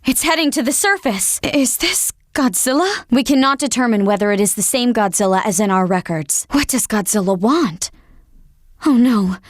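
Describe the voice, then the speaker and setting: A woman's voice: fairly high pitch, expressive, normal speed, no echo, clean studio sound. An actress playing a deferential, earnest female scientific aide in a video game, speaking formally and with urgency. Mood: alarmed.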